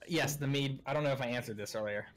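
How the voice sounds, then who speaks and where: A man's voice: mid-range voice, fast. A young man with a Philadelphia accent chatting casually while streaming a video game, telling stories and laughing often. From Pennsylvania. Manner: laughs often, tells anecdotes. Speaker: gaming stream host.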